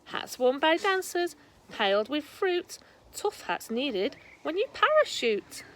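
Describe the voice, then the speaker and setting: A woman reading a rhyming children's storybook aloud in a deliberate storytelling manner. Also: sits high, sing-song, a little hiss or hum. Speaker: storybook reader. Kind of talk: scripted children's rhyme read aloud.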